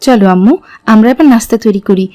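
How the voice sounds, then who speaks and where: A woman playing a devout, gentle mother in an Islamic cartoon, speaking in a measured, instructive way. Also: mid-range voice, soft background music, natural voice. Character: devout, gentle mother guiding her children.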